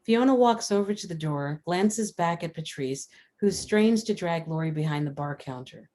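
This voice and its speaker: A woman's voice: on the low side, lively intonation, normal speed, dark tone. A woman narrator reading screenplay stage directions aloud in a scripted style.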